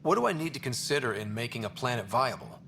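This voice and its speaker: Game lead: curious young male explorer, fast-talking, casual and direct, fry at the edges. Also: mid-range voice, big pitch swings, sharp tone, slight background noise, heavily acted. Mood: businesslike. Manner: informal, blunt, questioning. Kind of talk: scripted video game dialogue.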